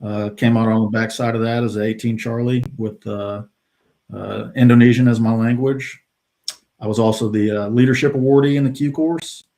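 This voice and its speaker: A middle-aged man from the United States, a retired soldier, telling his career story as a podcast guest in a relaxed way with many fillers. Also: medium pitch, normal speed. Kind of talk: casual interview talk.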